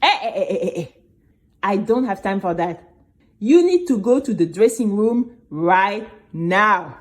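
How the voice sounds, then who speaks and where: A woman's voice: mid-range voice, expressive, a little slow, lightly acted. A woman playing a bossy, impatient female TV studio staffer in an audio drama, curt and commanding.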